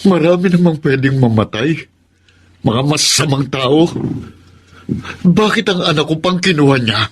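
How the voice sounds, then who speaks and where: High-pitched, bitter grieving father. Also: lively intonation, unhurried, slightly rough. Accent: Filipino. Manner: emotional, melodramatic. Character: bitter grieving father, harsh and self-pitying. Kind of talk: Filipino radio drama acting. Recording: loud music under the voice.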